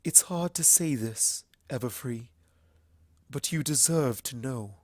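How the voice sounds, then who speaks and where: Narrator playing a solemn, measured princess mare. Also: mid-range voice, a little mellow, no echo, clean studio sound. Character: grave, regal female alicorn princess, gently solemn.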